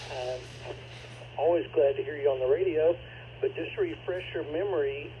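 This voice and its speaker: A man acting as net control on a ham radio net, speaking in a measured, courteous, procedural way, heard over a radio transmission. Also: mid-range voice, gravelly. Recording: phone-like ham radio transmission with faint noise.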